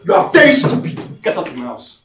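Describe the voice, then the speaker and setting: An actor with a Nigerian accent playing a hot-tempered, indignant young boyfriend in a film drama, blunt and repetitive. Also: male voice, on the high side, very animated, normal speed, fairly bright tone, faint music behind. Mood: furious.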